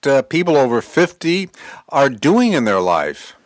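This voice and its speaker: A man's voice: mid-range voice, sing-song, unhurried, no room sound, clean studio sound. A man in his sixties hosting a podcast interview in a conversational way. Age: 60s. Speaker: podcast host.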